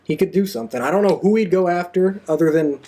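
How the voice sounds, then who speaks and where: A man's voice: high-pitched, fast talker, mellow. A man hosting a casual panel chat, giving wrestling predictions. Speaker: host of a wrestling predictions show.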